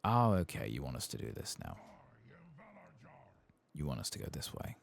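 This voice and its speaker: Male ASMR gamer, very low creaky voice, soft muttered play-by-play. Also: big pitch swings, moderate pace, no echo. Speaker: ASMR gaming streamer. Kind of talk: soft-spoken ASMR game playthrough commentary.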